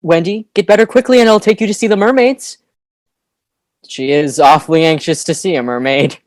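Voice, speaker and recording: A woman's voice: lowish voice, brisk, darkish tone, no echo, clean studio sound, close to an everyday voice. A woman playing a proud, commanding boy leader in an audio play. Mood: bossy, eager.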